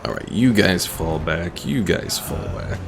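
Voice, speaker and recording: A man's voice: low voice, lively intonation, a little slow, vocal fry, over music. A man giving casual live commentary while streaming a game.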